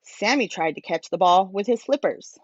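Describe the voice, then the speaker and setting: A woman reading a children's storybook aloud as a teacher, in a simple, expressive manner for young listeners. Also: medium pitch, moderate pace, no echo, muffled recording.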